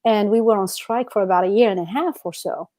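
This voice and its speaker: A middle-aged woman from Algeria, a native Amazigh speaker, co-hosting a casual live chat and telling things in an animated way. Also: mid-range voice, a little fast. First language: Amazigh (Berber). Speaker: co-host.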